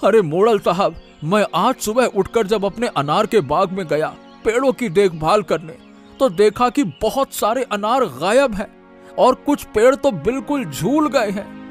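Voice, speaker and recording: An anxious male farmer voiced by a man from a cartoon village tale, with a high-pitched voice. He sounds suspicious and complaining, over light music. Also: expressive, measured pace. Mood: anxious, accusing. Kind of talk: Hindi cartoon moral-story acting.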